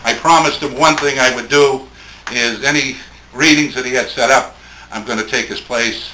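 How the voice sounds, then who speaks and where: A man speaks at a poetry reading in a plainspoken, measured way. His voice is clear-cut and bright, at an ordinary pitch. The room adds noticeable echo, with a faint layer of noise behind him. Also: steady tone. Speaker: speaker reading a late friend's poems at a tribute. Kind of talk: poetry reading with informal spoken introductions. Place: indoor room at a live poetry event. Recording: roomy, echoing event recording with faint noise.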